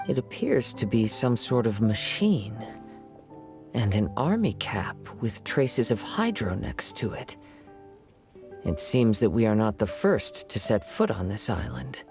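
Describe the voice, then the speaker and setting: A man voicing a calm, watchful young scout in a video game, measured and serious. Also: mid-range voice, creaky, exaggerated voice.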